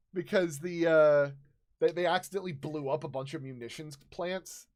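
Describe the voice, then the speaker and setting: A man in his thirties casually recounting tabletop game stories as a host, halting and searching for words. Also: on the high side, darkish tone. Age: 30s.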